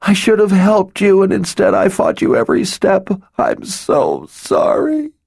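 A man voicing a grief-stricken young woman who sobs and begs forgiveness, in a much higher voice than his own. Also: sing-song, unhurried, gravelly, darkish tone, slightly rough, no room sound, clean studio sound, exaggerated voice. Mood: pleading sobs. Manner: sobbing, pleading.

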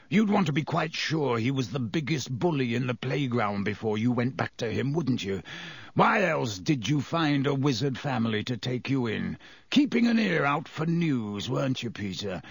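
A man's voice: mid-range voice, no echo, heavily acted. A man voicing a bitter, brooding escaped prisoner in an audiobook, with a growling, venomous delivery. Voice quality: growling, gravelly. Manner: venomous, hissing, deliberate. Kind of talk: audiobook character voice.

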